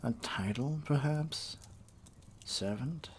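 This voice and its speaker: A man's voice: mid-range voice, takes it slowly, slight background noise, voice pushed up, close to an everyday voice. A man playing a vain, scheming evil sorcerer king in an ASMR roleplay, with a pompous, condescending drawl. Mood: amused, musing.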